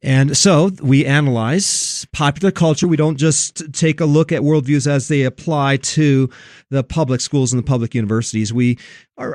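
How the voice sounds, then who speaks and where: A man's voice: mid-range voice, darkish tone, no echo. A middle-aged American man hosting a broadcast from a studio, giving conversational commentary in an emphatic way and repeating phrases for stress. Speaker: radio host.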